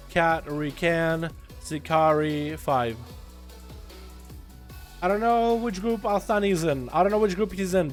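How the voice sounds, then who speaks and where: A young man from Russia with a slight Russian accent, hosting a live game stream and hollering and swearing as he thanks viewers. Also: high voice.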